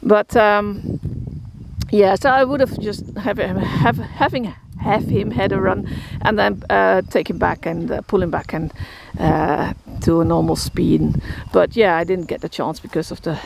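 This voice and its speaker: A woman's voice: medium pitch, fairly even intonation, a little slow, creaky. Dutch woman rambling outdoors in a field. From Netherlands. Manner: rambling, many fillers and restarts. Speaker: horse shelter keeper. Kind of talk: casual vlog walkthrough. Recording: outdoor noise, crickets behind.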